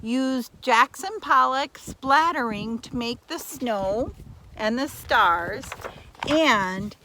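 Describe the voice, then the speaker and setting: A woman's voice: a little high, expressive, pushed and bright, a little hiss or hum, low-quality recording. An older woman, a grandmotherly storyteller, reading to children in a dramatic, theatrical way with emphasized words. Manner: theatrical, slow and deliberate, drops into whispers, repeats words for emphasis. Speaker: storyteller and primary teacher.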